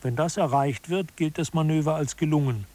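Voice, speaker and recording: A man acting a self-assured expert of absurd office science in a radio play. The voice is fairly high for a man and noticeably husky. He explains everything gravely, like a mock scholar giving instruction. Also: a little fast, darkish tone, close to an everyday voice. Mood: didactic, assured. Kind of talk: scripted audio drama lecture.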